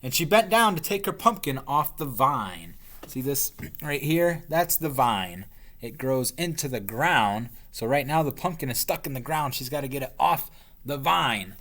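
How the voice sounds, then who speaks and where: A man reading a storybook aloud to young children as their teacher, expressively stretching words and pausing to explain. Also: medium pitch, expressive, fairly bright tone.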